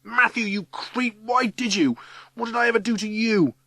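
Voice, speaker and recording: A man voicing a hot-tempered, wounded schoolboy who shouts accusingly in a storybook reading. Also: sits high, normal speed, no room sound, pitched above the performer's own voice, full character voice. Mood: hurt, furious.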